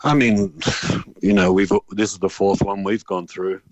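A man with an Australian accent speaks in a deep voice with very little room sound. He answers interview questions casually and hesitantly, in a matter-of-fact, fed-up way. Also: steady tone, normal speed, darkish tone, no echo, lo-fi sound. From born in Ireland, grew up in Australia. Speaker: phone-in guest.

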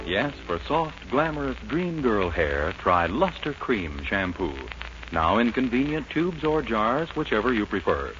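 A man's voice: mid-range voice, very animated, a little slow, music in the background. A man with an American accent announcing and reading adverts in 1940s radio style. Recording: muffled, noisy old radio broadcast.

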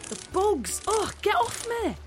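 An actress playing a bold, carefree, playful older sister in a sci-fi audio drama, with music behind. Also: female voice, extremely high pitch, big pitch swings, slow pace, heavily acted.

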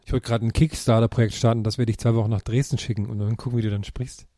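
A man co-hosting a casual podcast, teasing his co-hosts with quick remarks. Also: medium pitch, steady tone, mellow, no echo. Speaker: podcast co-host.

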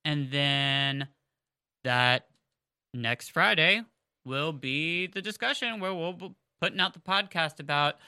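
A woman's voice: low-pitched, slow pace, pushed and bright, smooth, no echo, clean studio sound. A woman, co-hosting a podcast in a chatty, rambling way with fillers and restarts. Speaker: podcast co-host. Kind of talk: casual podcast chat. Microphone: headphones on, close mic.

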